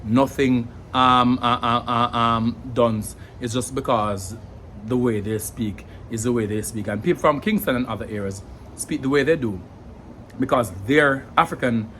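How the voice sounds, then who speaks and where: A man's voice: medium pitch, unhurried, a bit bright, slight background noise. A young adult man with a Jamaican accent, explaining in a casual, thoughtful way to camera, with many fillers and repeated words. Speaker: language vlogger.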